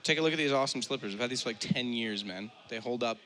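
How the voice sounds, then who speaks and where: A young male co-caster with a bright, edgy tone, trading jokes and analysis on a headset mic. His pitch rises sharply when he calls big plays. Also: fast talker. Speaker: esports co-caster. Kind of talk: color commentary and banter. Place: studio set with gaming stations.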